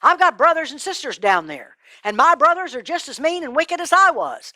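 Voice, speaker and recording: A woman's voice: high voice, animated, fairly quick, bright, forceful tone, no room sound, voice pushed up, heavily acted. A woman voicing a tormented rich man in a Bible story, pleading, indignant and stammering. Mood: urgent.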